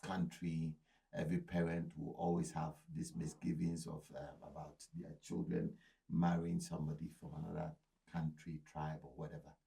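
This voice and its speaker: Low gravelly guy hosting a chat, kinda husky, keeps restarting his words. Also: flat delivery, warm tone, rough recording. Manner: halting, repeats words while searching. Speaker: podcast host. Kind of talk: casual interview chat.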